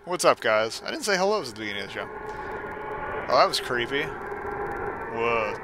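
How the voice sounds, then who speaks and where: Male gaming host, mid-pitched, wry and self-mocking, casual play-by-play with theatrical reading; noticeable background noise. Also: very animated, moderate pace. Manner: sarcastic, self-deprecating asides. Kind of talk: casual gameplay commentary mixed with mock dramatic story reading.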